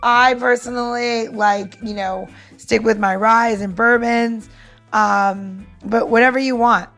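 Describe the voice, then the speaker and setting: A woman's voice: a little high, fairly even intonation, takes it slowly, a bit bright, faint music behind. A woman co-hosting a podcast, explaining a recipe step by step in an informal, instructive way. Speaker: podcast co-host and cocktail maker.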